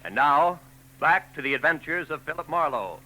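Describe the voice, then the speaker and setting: A man's voice: fairly high pitch, animated, moderate pace, pushed and bright, low-quality recording. A man reading a recruiting announcement and show introduction in a formal, emphatic 1940s radio style. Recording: old radio broadcast tape with faint noise.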